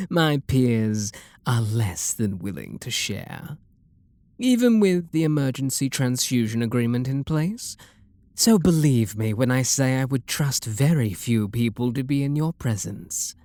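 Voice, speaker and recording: Slow, refined British vampire lord, played by a man. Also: mid-range voice, animated, no room sound, close to an everyday voice. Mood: possessive, adoring. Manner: soft, deliberate, genteel, flirtatious. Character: refined, wealthy male vampire lord, possessive and courteous. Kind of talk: ASMR roleplay monologue.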